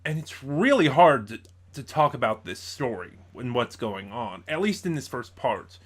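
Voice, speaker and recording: A man giving an informal spoken review as host, in a halting way, stumbling over words and correcting himself. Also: medium pitch, big pitch swings, a bit bright.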